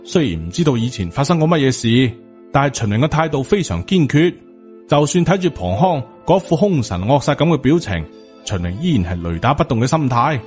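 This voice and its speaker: A male audiobook narrator with a Hong Kong Cantonese accent reads scripted supernatural fiction. His voice is mid-pitched, and music plays behind the narration. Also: big pitch swings, fairly quick, darkish tone.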